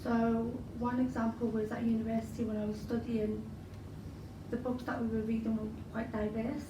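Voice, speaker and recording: A woman's voice: fairly high pitch, level tone, moderate pace. Scouse woman, roomy and distant. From Liverpool, England. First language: Arabic. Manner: chatty, frequent fillers and you-knows. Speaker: interviewee, spoken word poet. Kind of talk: casual interview answers. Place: large event hall. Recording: roomy hall recorded from a distance with noise.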